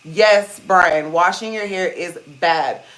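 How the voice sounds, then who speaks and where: A woman with a deep voice hosting a casual hair-care livestream, chatting to viewers and calling them "you guys" while she steams her hair. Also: slow pace, a bit bright, poor audio. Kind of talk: casual live-stream chat reading comments. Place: indoors at home, seated on a small chair. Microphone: phone.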